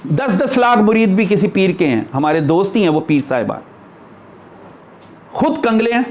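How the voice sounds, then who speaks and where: Male spiritual teacher, distinctly high-pitched. Informal sermon delivery, explanatory and emphatic. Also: very animated, normal speed, a little mellow. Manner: emphatic, explanatory, with rhetorical questions. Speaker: spiritual teacher answering followers' questions. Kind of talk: informal religious discourse.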